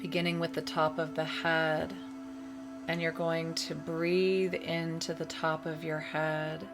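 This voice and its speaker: A woman leading a guided meditation, giving soothing, measured instructions with long pauses between phrases. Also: sits low, flat delivery. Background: meditation music.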